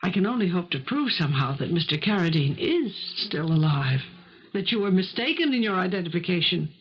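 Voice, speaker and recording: A woman's voice: on the low side, big pitch swings, fairly quick, slightly rough. Firm, insistent woman investigator, vintage radio. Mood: earnest, determined. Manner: firm, articulate, insistent questioning. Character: assertive, determined woman turned protective amateur investigator. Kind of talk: 1970s radio mystery drama acting. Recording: dull vintage radio broadcast recording.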